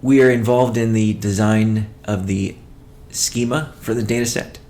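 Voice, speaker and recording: Low, flat-voiced man giving business update. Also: unhurried. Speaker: company CEO hosting a weekly call. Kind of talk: business update presentation, partly read from notes.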